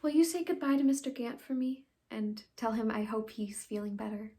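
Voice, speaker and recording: A woman acting an earnest, conflicted young woman in a halting, pleading stage monologue of confession and farewell. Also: fairly high pitch, natural voice. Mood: subdued, sorrowful. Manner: halting, pleading, with long pauses and hushed phrases.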